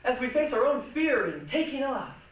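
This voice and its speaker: A woman's voice: high voice, lively intonation, normal speed. Woman reciting in a very echoey hall. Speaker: reader's theatre performer. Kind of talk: dramatic ensemble stage reading. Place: large echoey room, recorded from a distance. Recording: echoey hall, distant mic, faint noise.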